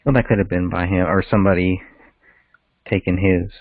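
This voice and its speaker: Deep-voiced man on a tinny phone line. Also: steady tone, brisk, no room sound. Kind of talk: casual case discussion on a call.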